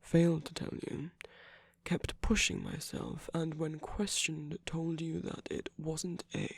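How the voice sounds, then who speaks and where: An ASMR performer acts out a commanding villain who is tender and protective toward a lover. The voice is breathy and gravelly, often falling to a whisper. It is recorded close and dry, with deliberate, coaxing phrasing. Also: mid-range voice, huge pitch swings, dry recording, exaggerated voice. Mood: concerned, chiding. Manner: slow, deliberate, coaxing, with long pauses. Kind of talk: ASMR roleplay, scripted intimate monologue.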